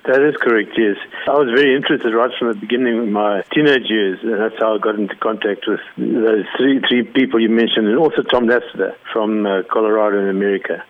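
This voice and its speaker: A man from Zimbabwe, a cattle rancher, explaining his work in a measured way during an interview. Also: on the low side, steady tone, no echo. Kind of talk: phone interview. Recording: narrow phone line.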